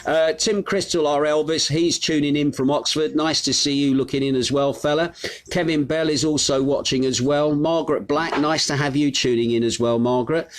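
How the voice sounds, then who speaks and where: A man's voice: on the high side, fairly even intonation, moderate pace. A middle-aged man from the United Kingdom hosting a live stream from his home, chatting casually and reading out viewers' names with plenty of fillers. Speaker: host of a live news stream. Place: his home on a Greek island.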